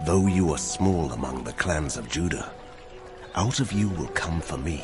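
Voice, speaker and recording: Over prominent music, a mighty, ancient God in an audio Bible drama. The voice is extremely deep and moves slowly, declaiming with solemn, commanding wrath. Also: male voice, exaggerated voice.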